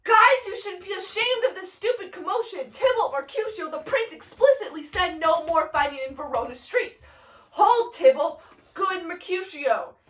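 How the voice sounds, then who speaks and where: Echoing and off-mic, a woman acts out an anxious young man in a homemade tragedy. The high voice exclaims urgently, trying to keep the peace. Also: very animated, normal speed, bright, forceful tone, exaggerated voice. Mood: urgent, pleading. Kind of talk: amateur retelling of a stage tragedy. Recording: echoey room, distant microphone.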